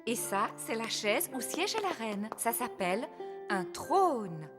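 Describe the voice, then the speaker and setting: A woman voicing a patient, gently firm mummy pig in a French children's cartoon, explaining and reassuring. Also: on the high side, sing-song, slow, over music.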